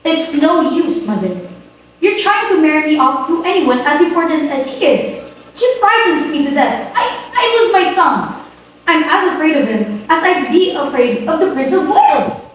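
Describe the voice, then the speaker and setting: A woman's voice: high-pitched, sing-song, normal speed. A woman playing a timid, reluctant young unmarried daughter, plaintive and protesting, in an amateur play reading. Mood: nervous, protesting. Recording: very echoey room, distant mic, hiss.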